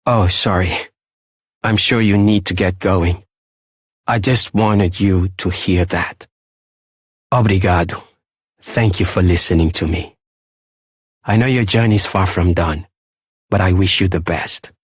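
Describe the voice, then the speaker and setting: An actor playing an earnest, gentle villager with a Portuguese accent in a video game, sincere, polite and husky. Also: male voice, sits low, fairly even intonation, measured pace, pauses often, dry recording, clean studio sound. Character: earnest, gentle villager, devoted child of a lost father. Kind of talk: video game character acting.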